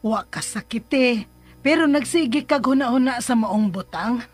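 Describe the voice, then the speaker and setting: A woman playing a caring, coaxing middle-aged mother who reassures gently in a radio drama. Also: fairly high pitch, normal speed, faint music behind, natural voice. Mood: caring, probing.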